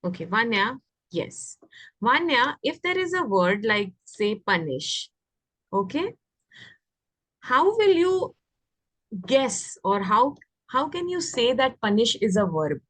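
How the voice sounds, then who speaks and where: A woman's voice: a little high, big pitch swings, takes it slowly, pauses often, fairly bright tone, dry recording. A woman with an Indian accent teaching children English grammar, explaining and often asking questions to check understanding. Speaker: teacher of an online class.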